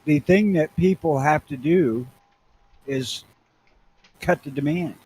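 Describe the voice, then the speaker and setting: Brief: blunt male co-host with a raised pitch, profane opinions, and faint hiss behind him. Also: slow pace, a little mellow, low-quality recording. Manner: blunt, opinionated, swears often. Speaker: podcast co-host. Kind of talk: casual profane chat.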